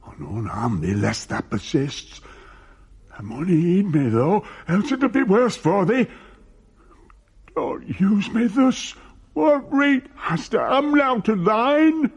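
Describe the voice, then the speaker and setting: Husky, high, pleading Northern ghost. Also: male voice, sing-song, heavily acted. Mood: desperate pleading. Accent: Northern English dialect. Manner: pleading, drawn-out, archaic speech. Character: anguished, pleading male ghost with threatening temper. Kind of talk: radio drama acting.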